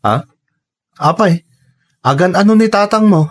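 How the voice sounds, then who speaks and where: A man's voice: high voice, a little slow. A man playing a frank, concerned young companion in a radio drama, with a Filipino accent. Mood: concerned, probing.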